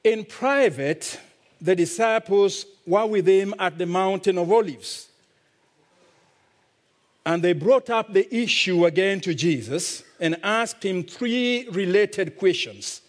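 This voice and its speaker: Slow Kenyan preacher. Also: male voice, sits high, lively intonation. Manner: slow, deliberate preaching with drawn-out emphasis. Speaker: visiting pastor. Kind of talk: sermon.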